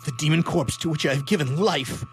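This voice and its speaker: An actor playing an anguished, horror-stricken young scientist in a melodramatic radio play, declaiming in a halting, overwrought way. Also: male voice, fairly high pitch, a little hiss or hum, lightly acted. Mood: horrified. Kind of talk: melodramatic 19th-century stage acting in radio theatre.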